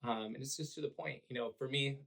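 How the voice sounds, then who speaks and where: Guy, raspy hoarse voice, kinda gravelly, laid back podcast guest, lots of um and you know. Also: mid-range voice, quick pace. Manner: earnest, relaxed, lots of fillers. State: hoarse from cheering at a game. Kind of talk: conversational podcast interview.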